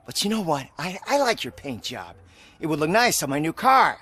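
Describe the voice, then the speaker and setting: An actor playing an arrogant, petulant commercial star in a video game, brash, whiny and dismissive. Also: male voice, on the high side, very animated, measured pace, a bit bright, husky, exaggerated voice. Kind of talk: video game cutscene acting.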